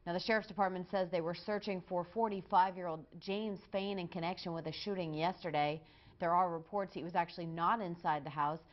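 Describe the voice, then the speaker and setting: A woman's voice: lowish voice, steady tone, brisk, muffled recording. A woman with an American accent reading scripted television news as an anchor.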